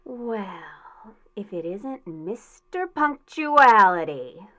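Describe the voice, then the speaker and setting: A woman's voice: mid-range voice, very animated, drawn-out words, sharp tone, heavily acted. A woman playing a demanding, jealous fiancée in a comic radio drama, nagging with sarcasm and melodrama. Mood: sarcastic reproach. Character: shrill, demanding, jealous fiancée of a timid man.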